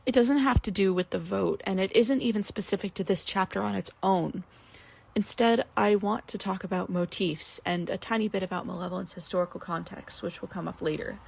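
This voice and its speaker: A woman with a husky, creaky voice, earnestly reading a prepared analytical commentary in measured, articulate phrasing. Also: medium pitch, a little mellow. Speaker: listener sending a recorded commentary. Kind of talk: prepared spoken essay, literary analysis.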